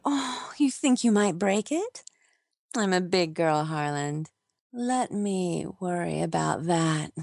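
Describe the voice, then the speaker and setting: A woman's voice: lowish voice, lively intonation, slow pace, gravelly, a little mellow, dry recording, clean studio sound, full character voice. An actress playing a seductive, scheming showgirl in a noir radio play, with a coquettish way of talking. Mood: flirtatious, teasing. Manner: sultry, coquettish.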